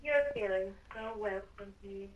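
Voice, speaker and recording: A woman's voice: medium pitch, level tone, measured pace, distant mic, dull audio, close to an everyday voice. A woman playing a teasing, pushy female villain in an improvised game roleplay, needling and insistent, with background noise.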